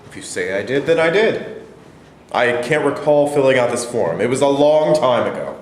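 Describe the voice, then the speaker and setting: A man's voice: medium pitch, expressive, normal speed, lightly acted. A man acting the part of a defensive, evasive elderly Holocaust survivor accused of collaborating, protesting in a staged play reading. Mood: evasive, weary. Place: indoor room at a staged reading. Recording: echoey room, light noise, distant pickup.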